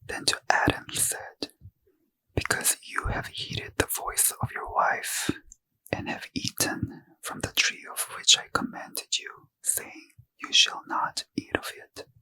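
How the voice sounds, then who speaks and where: A man reading a bedtime scripture story in ASMR style, in a soft unvoiced whisper. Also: slow pace, poor audio. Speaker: ASMR reader.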